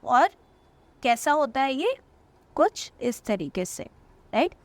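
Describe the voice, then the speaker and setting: High-voiced, slow and patient woman teacher. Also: sing-song, no room sound. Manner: patient, explains step by step, repeats words slowly; keeps checking in with "right?". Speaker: French teacher. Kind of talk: language lesson in Hindi-English mix.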